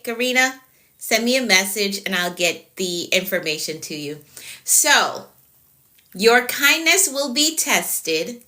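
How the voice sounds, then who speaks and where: A woman's voice: mid-range voice, pushed and bright. A woman with a Jamaican accent, a productivity coach giving a live-stream talk, repeating her key points and greeting viewers by name.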